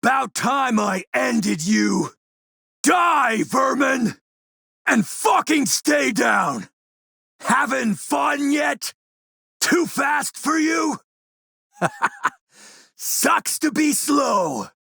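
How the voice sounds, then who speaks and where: An actor playing a brutal, sneering warlord with a gravelly, rasping voice, throwing forceful combat taunts in a video game. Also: male voice, very high-pitched, expressive, drawn-out words, long pauses, no room sound, clean studio sound, full character voice. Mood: gleeful fury.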